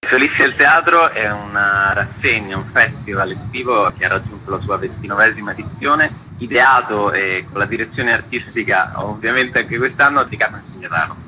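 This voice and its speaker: A man's voice: lowish voice, moderate pace, hardly any pauses, bright, forceful tone, slight background noise, low-quality recording. A man talking enthusiastically and conversationally in a radio interview as an event organiser. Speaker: festival organiser being interviewed.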